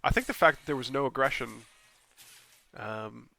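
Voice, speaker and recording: Bright-voiced male caster with a cold. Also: medium pitch, normal speed, vocal fry. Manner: casual, rambling, with frequent ums and self-corrections; bursts of excitement at plays. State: stuffy, scratchy voice from a cold. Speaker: game caster. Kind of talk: solo replay commentary.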